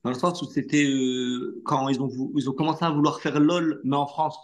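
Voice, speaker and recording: A man whose first language is Arabic, an actor telling stories in a relaxed interview, chatty, slangy and full of fillers. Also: medium pitch, moderate pace. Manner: animated anecdote-telling with street slang and many fillers. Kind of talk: casual interview storytelling.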